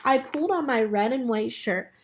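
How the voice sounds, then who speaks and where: A woman reading a children's book aloud in an expressive way, as a storyteller talking to children. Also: a little high, moderate pace, a little hiss or hum. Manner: animated character voices for dialogue, chatty asides to children.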